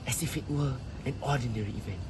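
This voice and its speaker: A man playing a troubled, cautious old villager in an amateur stage drama, speaking in deliberate, halting phrases. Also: mid-range voice, natural voice. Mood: anxious, grave. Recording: noisy ambient background. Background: clear ambient noise.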